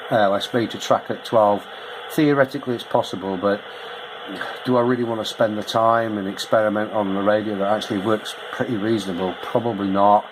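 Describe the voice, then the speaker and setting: A man's voice: lowish voice, noisy background. A man giving a casual, matter-of-fact technical explanation on a handheld camera recording. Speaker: radio modifier demonstrating his work. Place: small wood-panelled indoor radio bench.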